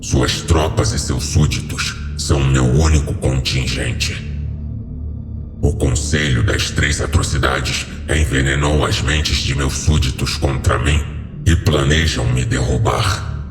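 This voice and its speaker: A man voicing an ancient fallen angel, solemn and grandiose, in a slow audio horror story delivery with cavernous reverb. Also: fairly even intonation. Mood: grave, conspiratorial. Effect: cavernous echo and reverb on a lowered voice. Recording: echoing hall reverb over music.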